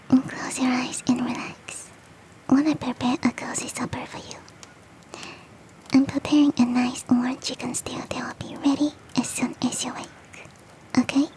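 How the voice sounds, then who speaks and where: A woman voicing a big, sweet, doting female yeti in a soft, breathy, gently coaxing whisper, as ASMR roleplay. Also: sits high, lightly acted, low-quality recording. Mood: soothing. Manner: gentle, coaxing, affectionate, self-deprecating.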